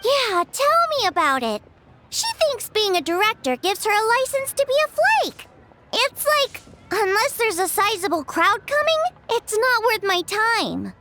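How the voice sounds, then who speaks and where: A woman's voice: very high voice, very noisy, exaggerated voice. A woman voicing a cheerful, chatty, teasing teenage girl in a video game, with a bubbly, casual delivery. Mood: playful grumbling. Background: music.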